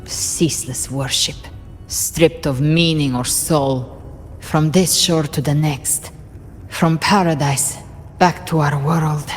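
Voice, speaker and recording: A woman playing a solemn, knowing guide of lost souls in a fantasy game, speaking in a calm, measured way. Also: deep voice, soft background music. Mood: grave. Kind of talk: dramatic fantasy game acting.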